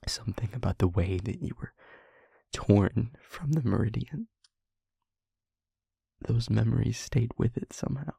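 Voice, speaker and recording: A man playing a guilt-ridden demon lover in an audio roleplay, confessing tenderly and in anguish in a halting, breathy, husky hush. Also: lowish voice, lively intonation, no room sound, studio quality, full character voice. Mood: anguished, confessional. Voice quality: breathy, husky, often whispering. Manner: halting, hushed, dramatic pauses. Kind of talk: intimate audio roleplay monologue.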